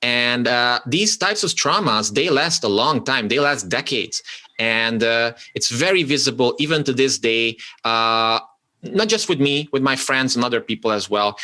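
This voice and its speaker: Bright-voiced Hungarian-speaking man from Romania. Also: mid-range voice, lively intonation. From Romania (Transylvania). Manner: halting, many restarts and fillers. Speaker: podcast guest, copywriter. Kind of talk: casual interview talk.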